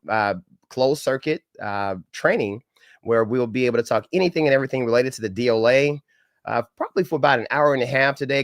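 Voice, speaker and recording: A middle-aged man leads a live-stream training in an upbeat, folksy way, with greetings that sound a bit like a preacher. A head cold leaves his voice a little crackly and nasal. His pitch sits in a middle range, and the recording is close and dry. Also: a bit bright, no room sound. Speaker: government contracting trainer. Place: home setup in Dallas.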